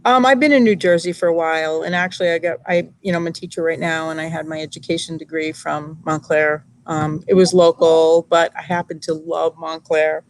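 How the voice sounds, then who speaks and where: A woman from Brooklyn, a teacher studying for an MBA, chatting thoughtfully over a laptop video call from a library, with frequent fillers and pauses. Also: deep voice, steady tone, a little hiss or hum. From Brooklyn, New York. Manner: thoughtful, hesitant, many fillers. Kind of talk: casual video-call chat. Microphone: laptop mic on a video call.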